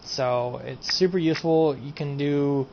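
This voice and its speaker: A man's voice: a little high, fairly even intonation, a little slow. A man streaming a casual game mod showcase, rambling and repeating himself as he trails off. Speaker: gaming streamer. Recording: dull lo-fi home recording, faint noise.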